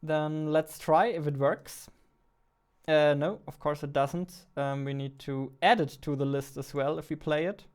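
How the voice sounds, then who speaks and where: A man's voice: a little high, fairly even intonation, unhurried, clear, resonant tone. A man in his twenties thinking aloud casually as he works, a game developer recording a vlog on a headset. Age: 20s. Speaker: indie game developer, vlogger. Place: small indoor room at a computer.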